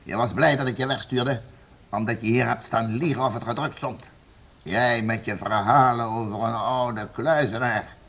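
Husky, stern, domineering nobleman. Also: mid-range voice, steady tone, normal speed, a bit bright. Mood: accusing, angry. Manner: commanding, scornful, emphatic. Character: stern, domineering male nobleman, bullying and sure of himself. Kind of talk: radio play acting.

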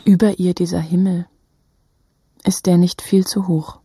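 A woman narrating a literary radio drama in a husky, breathy voice, with halting, fragmented phrasing. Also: fairly low pitch, little pitch movement, mellow, slight background noise.

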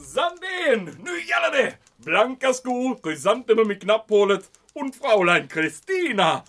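A pompous German commandant in a comic radio play. His voice sits high and swings wildly in pitch. He is bombastic and excitable, barking exclamations and dropping German words. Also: a little hiss or hum, full character voice. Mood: bossy, excited. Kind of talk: comedic audio drama acting.

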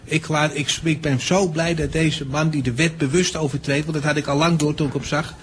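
An actor playing a pompous, petty train conductor in a Dutch radio play, officious and stammering when flustered. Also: male voice, on the high side, steady tone, a little fast, slight background noise, natural voice. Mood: smug, officious. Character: pompous petty train conductor who turns cowering and apologetic.

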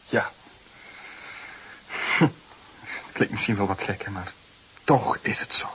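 An actor playing a desperate, defensive young murder suspect of about twenty, nervy and halting under interrogation in a crime drama. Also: male voice, fairly low pitch, fairly bright tone, low-quality recording. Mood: subdued, guarded. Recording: clearly hissy background noise.

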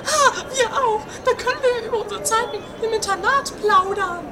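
A man playing a bossy, chatty, easily offended wife, theatrical and nagging, in an improvised comedy radio play. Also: normal speed. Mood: excited. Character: bossy, chatty, touchy wife on a train journey. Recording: distant mic, very noisy vehicle rumble.

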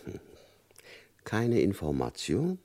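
An actor playing a stern, shrewd male FBI agent in a radio crime drama, speaking in a calm, probing, knowing way. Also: sits low, takes it slowly, heavily acted. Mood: coaxing.

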